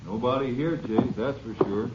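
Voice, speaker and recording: An actor playing a terse ranger sidekick. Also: male voice, medium pitch, normal speed, heavy background noise, close to an everyday voice. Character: matter-of-fact junior Ranger partner. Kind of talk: 1950s radio drama acting. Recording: dull old radio recording.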